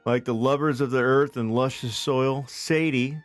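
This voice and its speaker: A man's voice: mid-range voice, steady tone, moderate pace, dry recording. A man co-hosting a podcast, reading a comic story aloud as a storyteller. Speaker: podcast co-host. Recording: dry close sound with music behind. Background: music.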